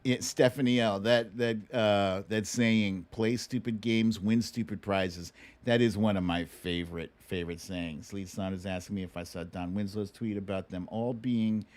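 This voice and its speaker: A man's voice: lowish voice, fairly even intonation, normal speed. A man hosting a casual livestream, chatting through news and viewer comments in a wry, teasing, colloquial way. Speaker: livestream show host.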